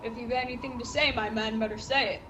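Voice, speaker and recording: A woman plays a defiant sea captain in a radio drama, fairly high in pitch. The delivery is stern, formal and clipped, built on command. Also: fairly even intonation, fast talker, noisy background, lightly acted. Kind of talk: radio play acting.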